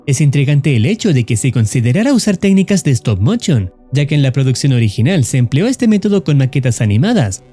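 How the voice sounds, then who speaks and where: Mellow-voiced man narrating a horror story. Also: a little high. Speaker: YouTube horror channel narrator.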